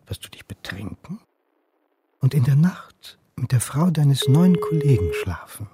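A sceptical history lecturer turned amateur sleuth in a radio crime drama. He speaks drily and sardonically at a middle pitch, over clearly noisy background sound. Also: very animated, measured pace. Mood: wry, brooding. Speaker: actor and narrator in a radio drama.

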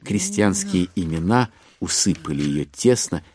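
A man narrating a literary audio drama as a measured, scripted storyteller. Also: low voice, wildly swooping pitch, a little mellow, exaggerated voice. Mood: measured, wry.

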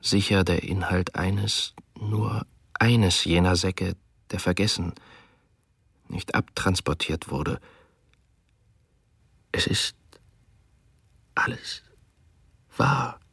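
A man with a husky, gravelly voice reading a grave radio drama text slowly and solemnly. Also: low-pitched, a bit bright, no room sound. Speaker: reader in a radio documentary drama.

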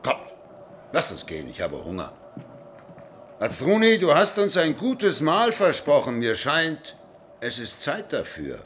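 An actor playing a blunt, authoritative medieval ruler with little patience in a German radio play. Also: male voice, on the high side, huge pitch swings, a little slow, pauses often, a bit bright, slight background noise, heavily acted. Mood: impatient, commanding.

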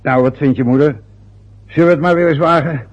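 An actor playing a jovial, teasing older father in a radio play, with a husky voice, chuckling and prying in a bantering way. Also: fairly high pitch, fairly quick, lo-fi sound, natural voice. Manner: bantering, nosy, chuckles often.

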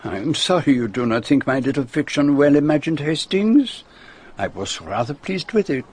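An actor playing a courteous, slightly pompous foreign detective with a Belgian French accent in a radio drama. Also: male voice, fairly high pitch, big pitch swings, normal speed, a little mellow, breathy edge. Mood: smug, amused. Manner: polite, precise, slightly pompous. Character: courteous, self-satisfied middle-aged foreign detective, a man.

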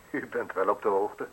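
A man's voice: lowish voice, poor audio. Terse, shrewd, wealthy man. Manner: terse, dry, probing. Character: wealthy, successful, shrewd and guarded male host. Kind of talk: Dutch radio play acting. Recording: hissy radio drama recording.

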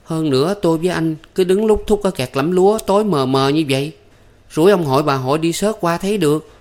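A man's voice: medium pitch, animated, moderate pace, pitched above the performer's own voice, lightly acted. A man voicing a pert, scolding young southern Vietnamese woman. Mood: exasperated.

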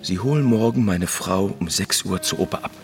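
An actor playing a trusting, good-natured provincial husband who dotes on his wife, earnest and gently coaxing, in a German radio drama. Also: low-pitched, moderate pace. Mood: affably coaxing. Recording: music playing behind the voice.

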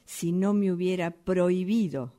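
Woman acting as domineering radio-drama woman, Rioplatense accent, lowish pitch, slow pacing, insistent and self-assured. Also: mellow, close to an everyday voice. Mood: stern, probing. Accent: Rioplatense Spanish. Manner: emphatic, repeats phrases insistently. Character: stern, domineering, defensive woman, sure of herself. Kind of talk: radio theatre acting, workshop fiction.

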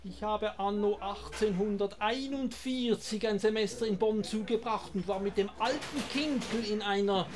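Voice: mid-range voice, normal speed, hardly any pauses, close to an everyday voice. A performer playing a courteous, chatty scholar in a German radio play, conversational and slightly hesitant. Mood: sociable.

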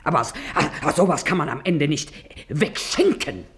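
Bossy Berlin mother, swooping pitch. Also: lively intonation, moderate pace. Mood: stubborn refusal. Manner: blunt, earthy, wily. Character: shrewd, bossy working-class mother haggling hard. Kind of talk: German radio play acting.

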